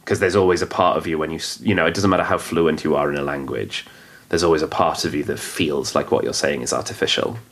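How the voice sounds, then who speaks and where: A man whose first language is Welsh, a comedian chatting casually on a podcast with quick, self-deprecating asides. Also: rumbling low, steady tone, fairly bright tone. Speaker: comedian guest.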